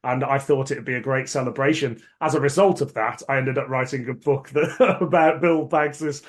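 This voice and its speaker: A man with a medium-pitched voice hosts a casual podcast. He talks informally and gives his opinions freely. Mild swearing slips into his conversational delivery. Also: fairly even intonation, moderate pace. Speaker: podcast host. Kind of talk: casual chat about cult sci-fi dramas.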